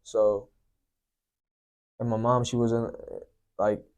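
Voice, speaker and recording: A young man from Sri Lanka, a guest telling his life story in a soft, unhurried way, often filling with "you know, man". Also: mid-range voice, level tone. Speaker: guest sharing testimony. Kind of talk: personal testimony, casual storytelling.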